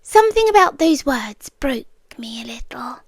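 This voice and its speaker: A woman plays an immortal cosmic yandere with a British accent and a highish female voice. She speaks very slowly and softly, often slipping into whispers, tender yet possessive. It is an intimate ASMR roleplay, recorded close and dry. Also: sing-song, gravelly, husky, dry recording, exaggerated voice. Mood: tender, wistful. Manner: soft, deliberate, often dropping into whispers. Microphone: close microphone.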